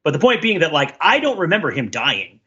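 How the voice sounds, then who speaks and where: Bright, husky-voiced man, dull recording. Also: a little high, very animated, fairly quick. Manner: wordy, thinking aloud in long qualified sentences. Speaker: podcast co-host. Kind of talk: casual analytical chat about television. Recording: dull, band-limited sound.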